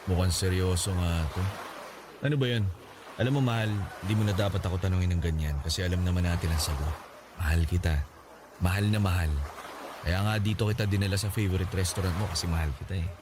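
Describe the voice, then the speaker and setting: A man playing a teasing young man, charming, lowish voice, noisy radio drama recording. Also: steady tone, fast talker, close to an everyday voice. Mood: tender. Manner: teasing, playful, laughs often.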